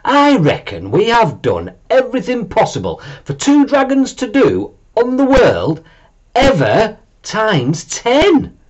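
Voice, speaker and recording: Man putting on high-pitched, excitable young dragons. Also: slow pace, lo-fi sound, heavily acted. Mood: whiny, bored. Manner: whiny and over-excited. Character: excitable, cheeky young dragons, bored and mischievous. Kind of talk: animated children's storybook character reading.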